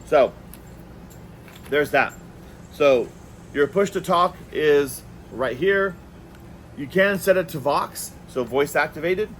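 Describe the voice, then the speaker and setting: A man giving a casual hands-on product review, talking in a halting way and repeating words as he goes. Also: a little high, fairly bright tone, slight background noise.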